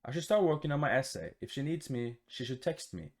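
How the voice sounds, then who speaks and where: A man's voice: medium pitch, big pitch swings, brisk, pitched above the performer's own voice, natural voice. A man voicing an anxious student who pines over a friend, in a visual novel. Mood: fretful, excited.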